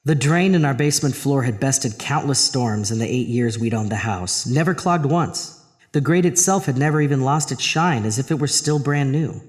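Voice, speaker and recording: A male actor plays a troubled, haunted man telling his own horror story. He narrates dramatically in the first person, in a mid-pitched voice suited to a chilling audio drama. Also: expressive, normal speed, long reverb tail, close to an everyday voice. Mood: uneasy. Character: Arthur, troubled married man haunted by a drain.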